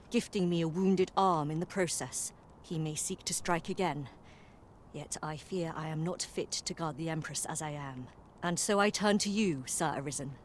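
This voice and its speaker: A woman's voice: deep voice, slight background noise, lightly acted. Woman acting a formal fantasy-game female officer. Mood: grave, urgent. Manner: formal, archaic, measured speech. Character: composed, courteous woman officer serving an empress. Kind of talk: fantasy video game dialogue.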